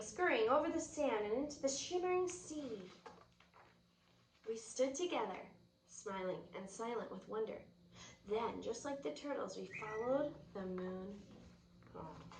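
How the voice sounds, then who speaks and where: A woman reading a children's book aloud with expressive, storytelling delivery. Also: fairly high pitch. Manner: expressive, drops to whispers at quiet moments. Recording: roomy echo, distant mic, faint noise.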